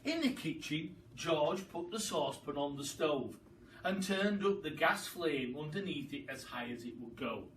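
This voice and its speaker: A man reading a children's story aloud as a storyteller, in an expressive, dramatic and emphatic manner. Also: fairly high pitch, unhurried. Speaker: storyteller, primary school teacher. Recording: far from the mic, faint background noise.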